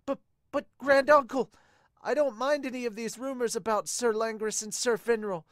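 Man doing high, pleading young noblewoman. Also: steady tone, normal speed, fairly bright tone, no room sound, clean studio sound. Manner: pleading, polite.

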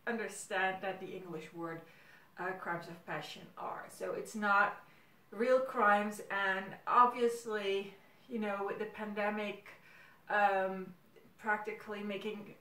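A woman's voice: mid-range voice, fairly even intonation, slow pace, sharp tone. A middle-aged native Dutch speaker, a woman hosting a casual video series, thinking aloud hesitantly in a room at home. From Netherlands. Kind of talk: casual monologue to camera. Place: small room at home, indoors. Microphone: camera several feet away. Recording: roomy, distant camera microphone.

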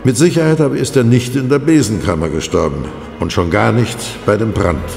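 A man's voice: fairly low pitch, brisk, vocal fry. An actor playing a wry forensic pathologist in a crime audio drama, savoring his explanations theatrically. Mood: relishing explanation. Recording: echoey hall, loud music behind.

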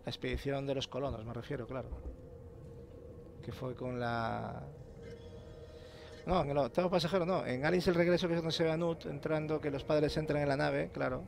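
A man from commentating a horror game, chatty and excited, swearing often. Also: a little high, moderate pace, creaky. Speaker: gamer. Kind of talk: let's play commentary. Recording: noisy game audio underneath. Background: game ambience.

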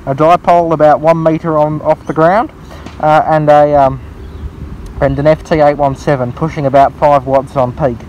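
A man's voice: on the high side, flat delivery, moderate pace, a little mellow, poor audio. An Australian man talking as an amateur radio operator, repeating phrases and spelling out callsigns. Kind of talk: ham radio conversation. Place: outdoors in a local park. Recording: outdoor recording with faint background noise.

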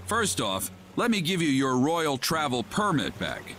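A man's voice: fairly high pitch, very animated, measured pace, a bit bright, slight background noise. A man voicing a laid-back, wry sea captain who gives advice in a video game. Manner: casual, wry and talkative. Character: laid-back middle-aged male ship captain. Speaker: ship captain.

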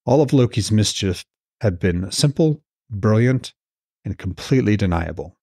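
A man's voice: on the low side, lively intonation, moderate pace, a little mellow, husky, no room sound, studio quality. A man hosting a podcast and reading scripted narration as author and narrator.

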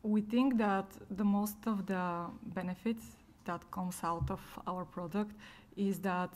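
A young woman answering on a conference panel in a steady delivery with fillers, into a table microphone. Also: mid-range voice. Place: conference room on a panel stage.

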